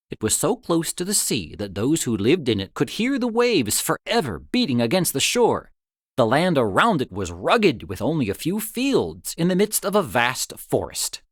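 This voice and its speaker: Male narrator, dramatic storytelling, highly animated intonation, dry close studio sound. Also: moderate pace, dry recording. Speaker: story reader. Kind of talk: scripted narration of an old tale.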